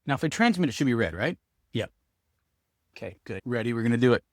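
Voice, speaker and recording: A man talks informally to viewers as a product reviewer. His voice sits at an average male pitch, and he thinks aloud while handling the item. The sound is dry, with very little room in it. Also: very animated, quick pace, no room sound. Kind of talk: casual hands-on product review, speaking to viewers.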